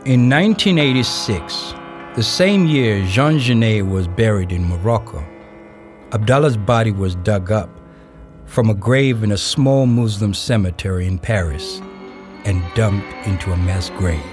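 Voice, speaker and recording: A man's voice: lowish voice, expressive, a little slow. A man giving scripted narration for a documentary-style audio drama. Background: music.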